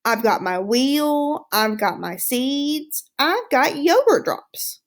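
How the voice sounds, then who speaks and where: A woman voicing a smug, excitable male pet hamster in a storybook read-aloud, boastful and exclamatory. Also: sits high, takes it slowly, heavily acted. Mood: puzzled, defensive. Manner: boastful, self-satisfied, exclamatory.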